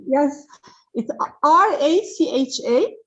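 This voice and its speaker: A woman from Egypt, a student on a video call, speaking softly and hesitantly. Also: high voice, expressive, slow, highs cut off.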